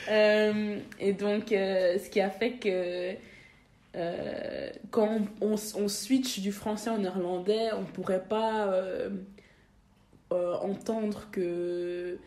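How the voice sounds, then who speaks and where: A woman's voice: mid-range voice, fairly even intonation, slow pace, a little mellow. A young woman, a guest talking casually and hesitantly, with many fillers and restarts. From Belgium. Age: young adult. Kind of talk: casual interview conversation. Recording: echoey room.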